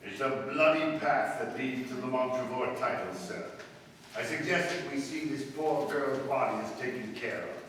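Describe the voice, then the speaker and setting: A man's voice: mid-range voice, moderate pace, a bit bright, close to an everyday voice. Man acting commanding detective, echoey hall. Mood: grave, formal. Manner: formal, assured, precise. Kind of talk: old-time radio drama acting. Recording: echoey, distant, noisy radio play recording.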